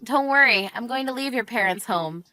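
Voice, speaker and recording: Lady acting fed up wife, sarcastic and smug, sharp bright voice, family drama story. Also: on the high side, expressive, moderate pace, dry recording, soft background music. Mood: fed-up, firm. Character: fed-up, sarcastic young wife taunting her in-law. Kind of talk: acted dialogue in narrated family drama.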